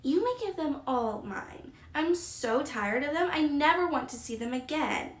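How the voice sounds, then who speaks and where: A woman's voice: high-pitched, expressive, a little slow. A woman playing a spoiled, discontented little rich girl of ten in a storybook reading. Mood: weary, petulant. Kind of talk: scripted character reading. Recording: roomy, distant mic, echo.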